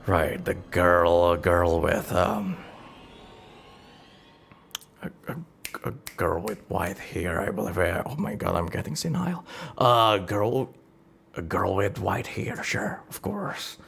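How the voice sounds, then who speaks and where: A man improvises an aging adventurer who is only in it for the money. The voice is very low and gravelly, with slow, hesitant grumbling that rambles into mild exasperation, as in a tabletop role-play. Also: expressive, fairly bright tone, slight background noise, exaggerated voice. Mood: muttering, unsure. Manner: muttering, rambling, mildly exasperated.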